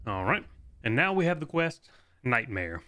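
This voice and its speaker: A man's voice: medium pitch, very animated, measured pace, bright, forceful tone, dry recording. A man hosting a video game guide, giving informal, matter-of-fact walkthrough commentary. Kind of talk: casual walkthrough commentary, reading quest dialogue aloud.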